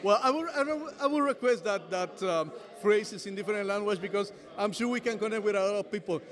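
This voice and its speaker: A man hosting a casual interview, speaking haltingly, often repeating words, with non-native phrasing. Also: sits high. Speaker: interviewer host.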